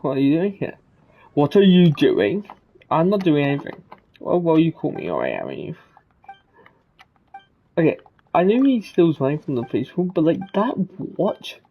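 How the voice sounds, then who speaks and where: A man's voice: fairly high pitch, huge pitch swings, normal speed, pauses often, breathy edge, phone-quality sound. A man giving casual, rambling commentary while playing a game, with muttered asides. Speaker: gaming video host.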